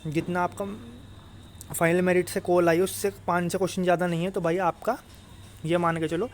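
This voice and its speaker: Young male exam coach, high voice, rapid informal explainer, some background noise. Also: little pitch movement. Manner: repetitive, checks in with tag phrases, mixes in English words. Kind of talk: informal explainer addressed to students.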